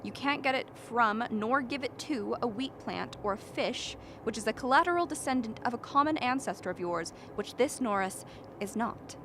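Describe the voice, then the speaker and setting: A rational lady scientist in a radio drama , acted by a woman, arguing in a fairly high voice. She lectures precisely and dismisses others dryly. There is faint noise in the background. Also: lively intonation, normal speed. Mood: mocking. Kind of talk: dramatic radio play acting.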